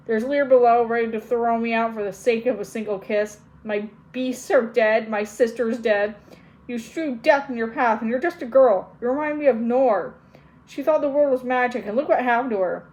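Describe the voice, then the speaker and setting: A woman's voice: fairly high pitch, normal speed, darkish tone, lightly acted, rough recording. A woman voicing a bitter, accusing witch. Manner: scornful and intense.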